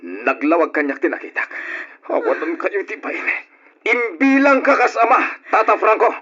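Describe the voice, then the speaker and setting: An anguished, betrayed husband in an Ilocano-accented radio drama. His husky voice swings widely as he accuses and reproaches. The recording is dull and old-fashioned. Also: high voice, big pitch swings, moderate pace, bright, forceful tone, heavily acted. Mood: furious, outraged. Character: anguished betrayed husband and father, furious and bitter.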